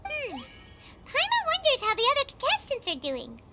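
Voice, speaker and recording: A woman's voice: very high-pitched, wildly swooping pitch, normal speed, heavy background noise, full character voice. A woman voicing a tiny, childlike, nosy fairy companion in an anime video game, chirpy and exclamatory. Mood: cheerful. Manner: speaks of self in third person, exclamatory. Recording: loud music behind the voice.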